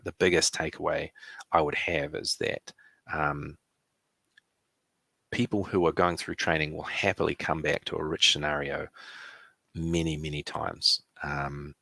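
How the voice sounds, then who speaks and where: A man with a New Zealand accent giving a conference presentation, relaxed, with many fillers and restarts. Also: rumbling low, vocal fry, no room sound.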